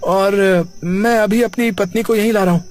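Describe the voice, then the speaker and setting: A man's voice: sits high, steady tone, moderate pace, faint music behind, lightly acted. An actor voicing an easygoing, joking young man in a cartoon, talking in a casual, colloquial way. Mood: nervous. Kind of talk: cartoon character acting.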